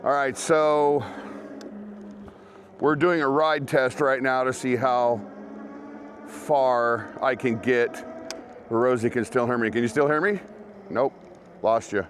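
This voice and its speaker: A man's voice: mid-range voice, steady tone, slow, long pauses, slight background noise. A man hosting a gear review vlog, chatting informally and directly to his viewers. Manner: informal, conversational, talks to viewers. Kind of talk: casual product review chat to camera.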